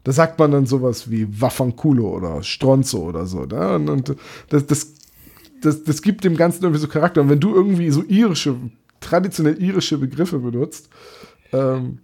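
Young guy running a tabletop game, kinda high voice, mellow, talks on and on. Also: normal speed, vocal fry, husky. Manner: chatty, explains at length with many restarts. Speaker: game master. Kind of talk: casual chat about tabletop games.

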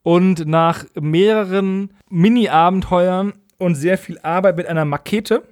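A man's voice: sits high, measured pace, a little mellow, no room sound, clean studio sound. A man recapping stories as a podcast co-host in a colloquial, humorous, mockingly opinionated way. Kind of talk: casual humorous episode recap.

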